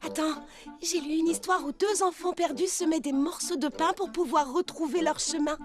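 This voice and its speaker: Squeaky-high, fussy cartoon girl. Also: fairly even intonation, moderate pace. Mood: worried. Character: earnest, fussy, dramatic young girl in a children's cartoon. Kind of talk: dubbed children's cartoon acting. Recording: loud music behind the voice. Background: loud music.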